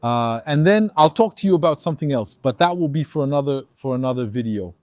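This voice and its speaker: A man's voice: mid-range voice, quick pace, no room sound, lo-fi sound. A man from Algeria giving an emphatic opinion monologue to his viewers, acting out dialogues. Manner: animated, emphatic, acts out dialogues. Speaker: commentator.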